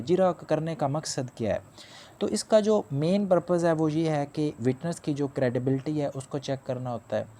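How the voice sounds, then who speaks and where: A man's voice: a little high, warm tone, slight background noise. A man giving a spoken law lecture. He explains step by step, restates each point and mixes in English legal terms. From Pakistan.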